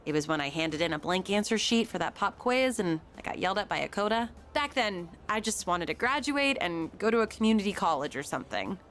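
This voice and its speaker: A woman's voice: mid-range voice, expressive, brisk, few pauses, a bit bright, soft background music, natural voice. A woman playing an upbeat, sporty teenage schoolgirl in a video game, chatty, frank and playful. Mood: determined. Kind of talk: English video game voice acting.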